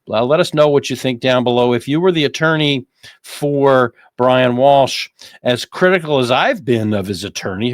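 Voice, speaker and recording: An American man hosting unscripted legal commentary, speaking haltingly and repeating phrases for emphasis. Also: medium pitch, vocal fry, no room sound, clean studio sound. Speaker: host, lawyer commentating on a trial.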